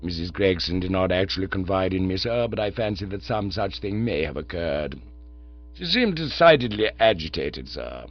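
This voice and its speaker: A man reading aloud and voicing a formal, unflappable middle-aged valet, low and measured. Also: animated, highs cut off. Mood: grave, deferential. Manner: deferential, slow, ends on a deep "sir".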